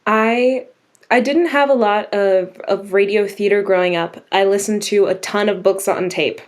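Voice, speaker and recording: A young woman, a script writer, talking casually in an interview, animated and quick to laugh. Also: medium pitch. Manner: animated, laughs often, acts out her own thoughts.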